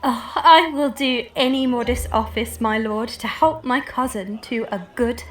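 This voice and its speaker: A woman plays a modest, sweet young noblewoman in a Shakespearean radio play. Her gentle voice is pitched somewhat high. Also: measured pace, close to an everyday voice. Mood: willing.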